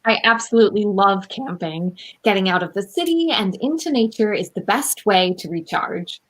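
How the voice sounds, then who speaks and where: An earnest young eco-heroine acted by a woman in a radio comedy, easily exasperated with her friends. Her voice is mid-pitched, recorded over a video call. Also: animated, moderate pace, natural voice, poor audio. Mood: upbeat. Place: room at home, video call.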